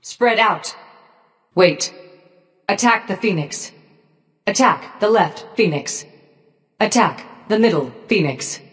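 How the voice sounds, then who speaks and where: A woman voicing a cold, menacing robotic gorgon in a video game, giving short commands in a measured, detached, machine-like way. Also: medium pitch, animated, long reverb tail. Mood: cold, commanding. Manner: slow, measured, emotionally detached machine-like delivery. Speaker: game character voice pack.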